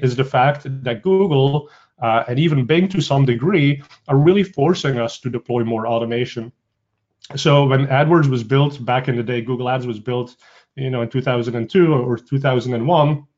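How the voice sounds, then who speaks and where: A man with a Belgian Dutch accent, speaking at a middling pitch as he presents a webinar in a loose, conversational way, filling gaps with uh and um. The audio is dull and lo-fi, like a computer mic on an online call. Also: a little mellow. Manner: conversational, frequent uh and um fillers. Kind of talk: casual presentation.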